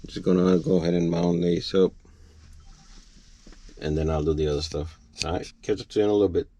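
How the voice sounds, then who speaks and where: Low-voiced man narrating hands-on repair work. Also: brisk, dark tone. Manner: informal, talking to viewers while working. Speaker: trucker vlogger. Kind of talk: casual hands-on repair walkthrough. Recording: clear background noise, clicks.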